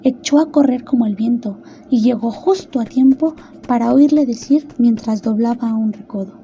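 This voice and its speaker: A woman narrates a storybook radio drama in a high-pitched voice. The tone is extremely soft and mellow, with faint ambient noise behind her. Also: moderate pace.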